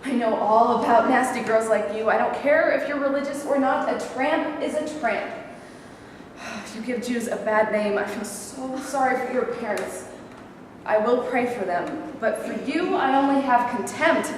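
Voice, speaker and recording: Actress as scornful protective mother, high voice, stage drama, cavernous echo. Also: unhurried, natural voice. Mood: scornful, angry. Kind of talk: staged play reading. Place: live staged reading in a hall. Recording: echoey hall, distant mic, faint noise.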